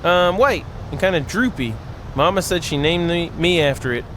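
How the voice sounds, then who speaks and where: A man voicing an anxious, sleepy young girl in a visual novel, wistful and halting in speech. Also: on the high side, a bit bright, slight background noise, natural voice. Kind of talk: visual novel character read aloud.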